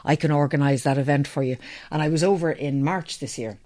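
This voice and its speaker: A woman's voice: sits low, brisk, warm tone. Ask: chatty Irish woman telling stories. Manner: chatty, enthusiastic storyteller. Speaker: interview guest, training company founder. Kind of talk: casual interview chat.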